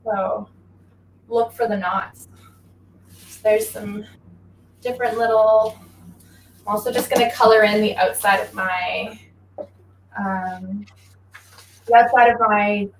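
A young woman giving a relaxed, chatty drawing lesson, explaining each step while she draws at home, speaking away from a laptop mic. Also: medium pitch, takes it slowly, pauses often, echoey room, slight background noise, poor audio. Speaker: guest athlete teaching drawing. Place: small home room. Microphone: laptop mic from across the room, facing away.

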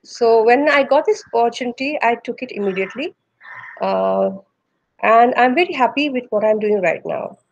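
A woman in her forties with an Indian English accent, answering interview questions over a video call in a measured way, pausing often with "uh". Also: on the high side, lively intonation, gravelly, lo-fi sound, slight background noise. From Northeast India. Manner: measured, with frequent "uh" pauses. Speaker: embryologist guest. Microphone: video call webcam.

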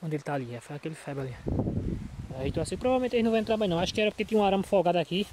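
A Brazilian man with a Northeastern accent, a little hoarse from a cold, chatting casually for a farm vlog in a folksy, repetitive way. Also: sits high, expressive, very fast. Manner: folksy, repeats himself, frequent check-in tags. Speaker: small farmer vlogging from his field. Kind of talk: casual handheld vlog chat. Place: outdoors in an open cornfield. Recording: noisy outdoor handheld recording.

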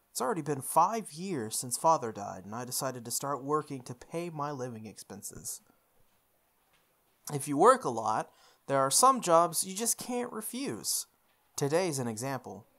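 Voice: mid-range voice, fairly bright tone. A let's play host reading a visual novel's lines aloud and chatting jokingly with viewers between them. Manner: reads the lines in character, then comments jokingly to viewers. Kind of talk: reading game text aloud with casual commentary.

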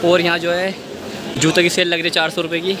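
A man's voice: on the high side, monotone, fast. Chatty young man vlogging in a crowded market. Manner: chatty, addresses viewers as guys and brother, asks prices and repeats them. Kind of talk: market walk-through vlog. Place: busy outdoor street market. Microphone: handheld phone at arm's length. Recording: loud street crowd behind.

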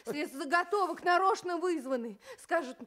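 An earnest village girl in a Soviet radio play. Her voice is extremely high, and she speaks up in a feisty, plain-spoken way. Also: expressive, normal speed, fairly bright tone. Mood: indignant. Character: outspoken, earnest peasant girl, younger sister. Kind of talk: Soviet radio drama acting.

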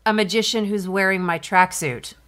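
An actress playing a sensible, exasperated middle-aged ex-wife in a comedy podcast, blunt and dry. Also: female voice, medium pitch, steady tone, normal speed, fairly bright tone, lightly acted. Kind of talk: audio comedy acting.

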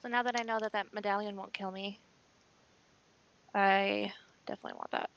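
Woman gamer with a creaky voice. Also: medium pitch, fairly even intonation, a little fast, fairly bright tone, slight background noise, low-quality recording. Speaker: tabletop game player. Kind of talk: casual game chat.

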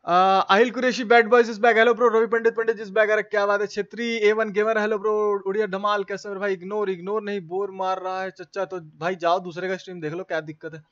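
A man's voice: high voice, flat delivery, brisk, few pauses, a bit bright, dull audio. A man hosting a live game stream, chatting casually and slangily as he greets viewers by name.

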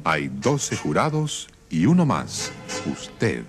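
A man's voice: low-pitched, big pitch swings, takes it slowly, full character voice. A man acting a solemn announcer who introduces a radio drama, addressing the listener directly. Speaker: programme announcer. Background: music.

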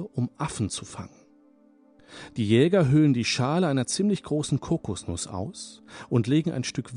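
A man leading a guided hypnosis session, speaking in a calm, soothing, repetitive manner. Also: medium pitch, husky. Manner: slow, soothing, hypnotic, repeats phrases. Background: soft background music.

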